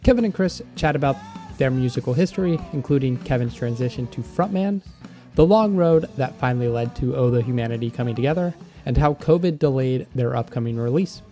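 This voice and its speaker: A man's voice: medium pitch, lively intonation, brisk, warm tone, soft background music. A man hosting a podcast, introducing and interviewing guests. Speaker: podcast host.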